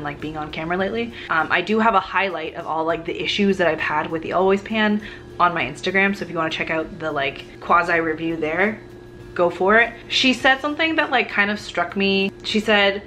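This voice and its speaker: A woman in her thirties chats candidly to a close-up camera in her home kitchen. Her voice sits a little low for a woman, and her talk is loose and full of "like". She sounds unscripted and frank. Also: pushed and bright. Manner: candid, chatty, frequent "like" fillers. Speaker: food vlogger. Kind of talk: casual grocery haul chat. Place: home kitchen in front of the fridge. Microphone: camera on a counter, close framing.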